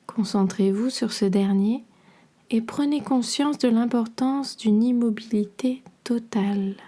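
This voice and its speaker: Slow, mellow woman guiding relaxation. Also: on the high side. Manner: soothing, measured, instructional delivery. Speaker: podcast host and yoga nidra guide. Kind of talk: guided relaxation meditation.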